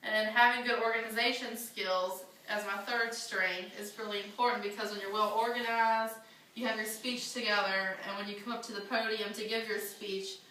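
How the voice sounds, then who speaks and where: Young female student, nervous but earnest speech delivery; bright voice, far camera, echoey classroom. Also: medium pitch, slight background noise. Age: young adult. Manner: earnest, slightly nervous, with fillers.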